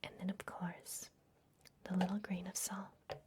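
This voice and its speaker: A woman playing a gentle, secretive vampire librarian in an ASMR fantasy roleplay, speaking in a soft, breathy, confiding whisper. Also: lowish voice, flat delivery, natural voice. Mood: secretive, reassuring. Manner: gentle, hushed, confiding, unhurried.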